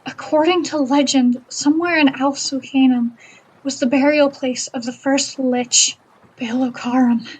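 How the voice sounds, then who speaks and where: A woman's voice: sits high, steady tone, unhurried, a little mellow, breathy edge, low-quality recording. A woman playing a learned, earnest female privateer in a fantasy audio drama, with a precise, lecturing delivery. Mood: grave storytelling.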